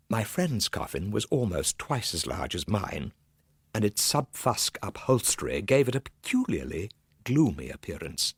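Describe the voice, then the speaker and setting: An actor playing an overwrought, gloomy minor civil servant in a radio ghost story, theatrical and suspenseful. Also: male voice, lowish voice, no room sound, studio quality. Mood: rising terror. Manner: theatrical, suspenseful, dropping at times to hushed asides. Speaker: actor narrating a radio drama. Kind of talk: dramatic first-person ghost story narration for radio.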